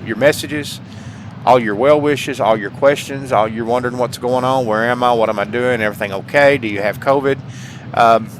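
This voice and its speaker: A man of average pitch talks casually to his online viewers. He is earnest and folksy, doubling back on phrases to stress them. Some noise sits behind his voice. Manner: folksy, repeats phrases for emphasis; earnest, heartfelt. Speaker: gaming channel host. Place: indoors at home, paintings behind. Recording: clear background noise.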